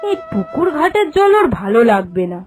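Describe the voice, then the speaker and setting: A woman's voice: high voice, big pitch swings, normal speed, a little mellow, soft background music. A woman voicing a meddling, critical village neighbour in a cartoon, insistent and admonishing. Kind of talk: animated cartoon dialogue.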